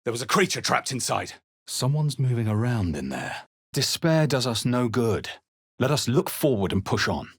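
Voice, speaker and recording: A man playing a brooding, watchful adventurer hero in a fantasy video game, with a husky voice and grave, deliberate, theatrical delivery. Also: medium pitch, big pitch swings, no echo, clean studio sound. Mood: guarded, weary. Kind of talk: dramatic game voice lines and first-person narration.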